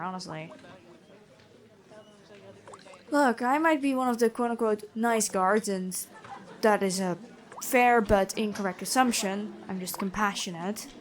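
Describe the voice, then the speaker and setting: A woman playing a gentle but stern, bookish female prison guard in a fantasy ASMR roleplay, chatty and inquisitive. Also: medium pitch, close to an everyday voice. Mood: frank, compassionate. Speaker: ASMR voice actor.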